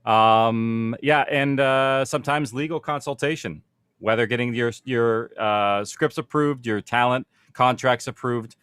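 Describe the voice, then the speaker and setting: A man's voice: mid-range voice, measured pace, a bit bright, clean tone, dry recording, studio quality. A man speaking as a producer on a panel, explaining practical matters informally and conversationally, thinking aloud as he goes.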